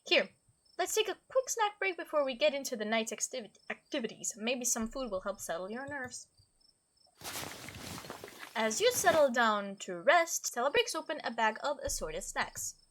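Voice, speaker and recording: A woman's voice: high-pitched, very animated, normal speed, fairly bright tone. A woman hosting a game playthrough, reading dialogue aloud expressively and adding her own commentary. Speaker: gaming video host.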